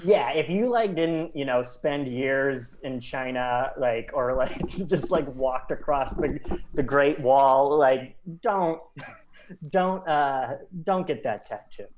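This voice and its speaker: A man's voice: mid-range voice, takes it slowly, darkish tone. Male podcast guest, casual, rambling, lots of fillers, faint background hiss. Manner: chatty, loose, heavy on fillers like "like". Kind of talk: casual conversation.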